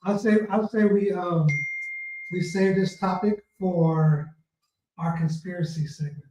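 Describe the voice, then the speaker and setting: A man's voice: sits high, fairly even intonation, a little slow, mellow. A man co-hosting a podcast, laid-back as he reads audience comments. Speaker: podcast co-host. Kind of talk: casual chat. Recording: dull lo-fi sound, echoey and distant.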